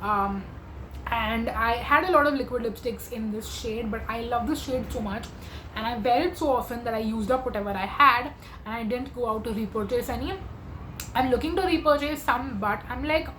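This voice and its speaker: A young woman talks through her makeup in an eager, rambling way, full of fillers. Her voice sits a little high and is going hoarse. The room echoes, with a faint hum behind her. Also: normal speed, a bit bright. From India. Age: 20s. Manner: chatty and gushing, with many fillers. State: losing her voice. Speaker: beauty vlogger. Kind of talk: casual makeup chat to camera.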